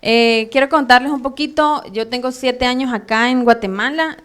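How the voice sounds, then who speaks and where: A Venezuelan woman with a Venezuelan accent teaching a church training class live, chatty and hesitant with many fillers and soft laughs. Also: high voice, normal speed. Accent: Venezuelan Spanish. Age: adult, has a son. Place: church meeting room.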